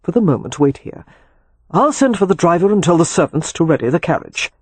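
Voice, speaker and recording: A narrator puts on a wry gentleman rake in an audiobook. He is affectionate and teasing, speaking with dry nonchalance in a husky voice, with no room sound at all. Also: mid-range voice, very animated, a little fast, no echo. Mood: fond, decisive. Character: Leo, wry, rakish, affectionate gentleman brother. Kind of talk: audiobook character dialogue.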